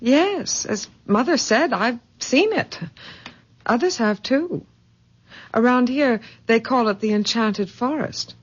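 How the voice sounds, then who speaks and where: An actress playing a gently insistent grown daughter in a radio ghost mystery, with a husky voice and an emphatic, dramatic delivery. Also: female voice, fairly high pitch, animated, takes it slowly, exaggerated voice. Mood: grave, insistent. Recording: muffled vintage radio drama recording.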